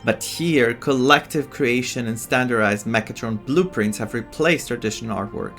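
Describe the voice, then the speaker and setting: A man's voice: medium pitch, normal speed, fairly bright tone, soft background music. A man giving casual, rambling, reactive commentary as he streams a game playthrough. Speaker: gaming streamer.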